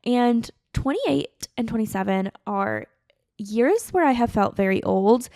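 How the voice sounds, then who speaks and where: Woman in her late twenties, creaky voice, dead dry close mic, chatty, earnest, rambling podcast talk. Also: on the high side, animated, a little slow, dark tone, dry recording. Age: late 20s. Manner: chatty, earnest, heavy on fillers. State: tearful, emotional. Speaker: podcast host. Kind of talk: casual solo podcast monologue.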